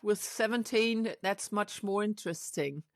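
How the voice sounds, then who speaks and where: A woman's voice: mid-range voice, measured pace, no room sound, clean studio sound. A woman hosting an interview, a German speaker talking English, asking questions and adding brief encouraging remarks. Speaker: podcast host. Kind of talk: casual interview.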